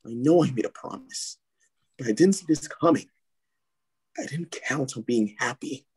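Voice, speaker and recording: A man playing a brooding, anguished young vigilante hero in an animated film table read, speaking earnestly and pleadingly. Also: medium pitch, normal speed, breathy edge, low-quality recording.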